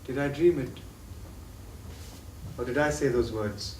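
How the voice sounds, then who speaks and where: An actor playing a tormented, guilt-ridden lover in a theatrical stage drama, with a declamatory delivery that moves between impassioned and brooding. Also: male voice, medium pitch, measured pace, darkish tone, close to an everyday voice. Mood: brooding, remorseful. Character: tormented, guilt-ridden male lover, doubting his own memory. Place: theatre stage, distant microphone. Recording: echoey theatre recording from far off, faint noise.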